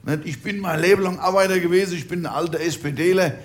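A man's voice: sits high, brisk, natural voice. An older man rambling in a grumbling way, stumbling and repeating himself. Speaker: grumbling old worker figure.

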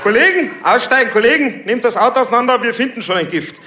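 A man voicing a snide, bossy male police officer in a stand-up bit, mocking and loud. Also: lively intonation, fast talker, full character voice. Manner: mocking, high, loud and sneering. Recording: roomy stage recording with noise.

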